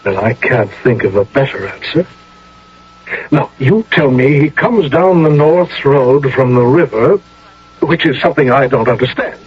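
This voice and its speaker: An actor playing a seasoned older general in a radio drama, speaking with formal, measured authority. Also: male voice, medium pitch, animated, breathy edge. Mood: troubled, insistent. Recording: dull, noisy old radio recording.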